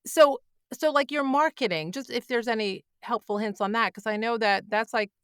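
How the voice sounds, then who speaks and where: A woman's voice: a little high, moderate pace, clear, resonant tone, dry recording, clean studio sound. A woman hosting a podcast interview, encouraging her guest and often laughing along. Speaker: podcast host. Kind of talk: casual interview chat.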